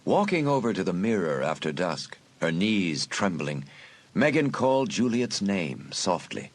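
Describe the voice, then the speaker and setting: A man's voice: low-pitched, sing-song, a little slow. A man reading scripted, dramatic story narration for an audiobook.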